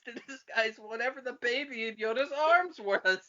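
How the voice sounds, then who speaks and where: A woman hosting a comedic podcast, chatting casually and excitably with plenty of emphatic swearing. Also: on the high side, moderate pace, muffled recording. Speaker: podcast host.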